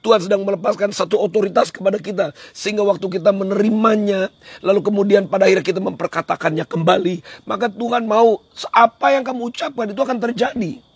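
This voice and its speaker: Guy preaching a livestream devotional, high hoarse voice, real emphatic, dry close sound. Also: fairly even intonation, fast, dry recording. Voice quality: hoarse, husky. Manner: emphatic, repeats phrases for effect. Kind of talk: devotional sermon on a livestream, switching into English at times.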